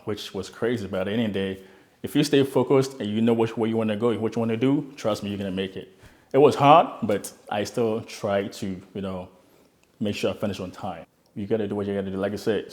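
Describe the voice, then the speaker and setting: Earnest man, low voice. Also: a little mellow. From Ghana. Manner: earnest and motivational, repeats words as he restarts. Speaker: interviewee, immigrant start-up founder. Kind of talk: reflective personal story.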